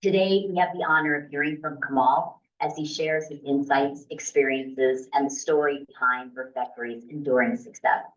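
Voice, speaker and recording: A woman's voice: sits low, fairly even intonation, moderate pace, few pauses. A woman hosting a meeting, giving a formal, ceremonious introduction of a guest speaker. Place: meeting room. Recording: dull, distant sound.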